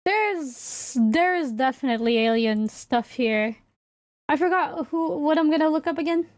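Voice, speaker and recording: A woman hosting a casual livestream in a high voice. She talks slowly and hesitantly, thinking out loud as she goes. The sound is dry, with no room echo. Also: lively intonation. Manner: hesitant, rambling, thinking aloud. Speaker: livestreamer and VTuber host.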